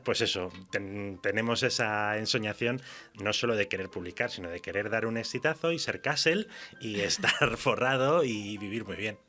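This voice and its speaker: Male guest writer, Spain accent, bright forward voice on the lower side, informal and self-deprecating, faint music. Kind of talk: casual interview chat.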